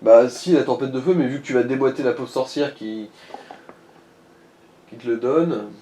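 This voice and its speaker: A man's voice: medium pitch, moderate pace, dark tone, reverberant, a little hiss or hum. A man chatting casually and crudely as he advises someone playing a video game. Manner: crude, slangy. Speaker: co-commentator advising the player. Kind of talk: casual gaming chat.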